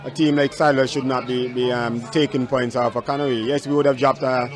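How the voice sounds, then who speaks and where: A man speaks as a coach after a match, haltingly and repeating himself. His medium-pitched voice stays very even. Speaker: football coach. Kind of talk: post-match interview.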